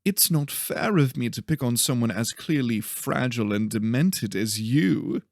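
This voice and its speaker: A man's voice: mid-range voice, expressive, unhurried, no room sound, clean studio sound. A man voicing an entitled, taunting young male student in an audiobook. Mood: mock apologetic. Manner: taunting, smug. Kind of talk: audiobook character voice.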